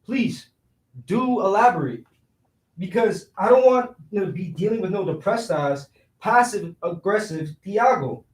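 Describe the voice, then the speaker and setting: A man's voice: sits high, animated, measured pace. A man acting a cocky, teasing young city ladies' man in a staged play reading, with slangy, swaggering talk. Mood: defensive, teasing. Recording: roomy echo, voice far from mic.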